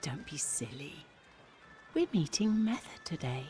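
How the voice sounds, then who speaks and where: An actress voicing a solemn, commanding female cult leader in a game cutscene, speaking in a slow, ceremonial way. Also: low voice, very animated, mellow. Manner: slow, grave, ceremonial delivery. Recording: cavernous reverb over game music.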